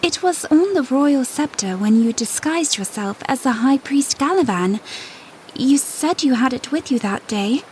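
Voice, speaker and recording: An actress playing a gentle, earnest young princess in an audio drama. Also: female voice, sits high, animated, measured pace, slight background noise, poor audio. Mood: intent questioning. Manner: gentle, intent.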